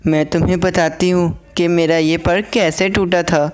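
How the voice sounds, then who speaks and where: A woman voicing a gentle, protective and anxious mother sparrow in a cartoon story. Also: fairly low pitch, fairly even intonation, normal speed, smooth, lightly acted. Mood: protective, sorrowful.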